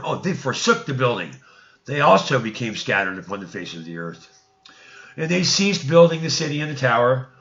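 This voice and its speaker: A man reading an ancient religious text aloud as a narrator, in a deliberate storytelling manner, stumbling over words now and then. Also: on the high side, gravelly, a bit bright, highs cut off.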